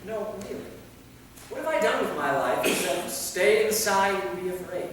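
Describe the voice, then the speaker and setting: A man's voice: high voice, big pitch swings, normal speed, a bit bright. A man playing a weary, fearful, bookish six-hundred-year-old immortal in a staged play reading. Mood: regretful. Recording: very echoey hall, far mic, faint noise.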